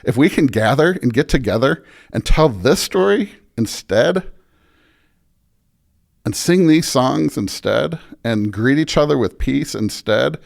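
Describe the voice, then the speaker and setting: A man's voice: medium pitch, animated, a little slow, gravelly. An American man speaking as a pastor in an interview, reflective and earnest, with emphatic, preacher-like repetition. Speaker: pastor, podcast guest.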